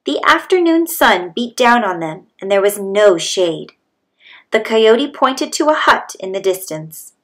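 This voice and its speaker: A woman's voice: mid-range voice, sing-song, measured pace. A woman reading a children's story aloud as a storybook narrator. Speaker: storybook reader.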